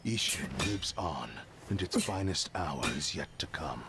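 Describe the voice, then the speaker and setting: An actor playing a dying ancient sword spirit, speaking in a grave, measured, whispery way. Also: male voice, sits low, animated, heavy background noise. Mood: solemn. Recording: game audio under loud music.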